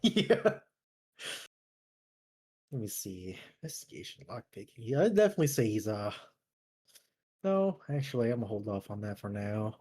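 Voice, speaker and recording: A man with a husky voice chatting casually and hesitantly thinking aloud as a player in a tabletop game. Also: lowish voice, very animated, normal speed, pauses often, darkish tone. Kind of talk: casual chat while building a character.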